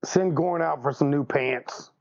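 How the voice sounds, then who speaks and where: A man with a creaky, gravelly voice of middling pitch banters casually during a tabletop game. The sound is slightly dull and lo-fi. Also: measured pace.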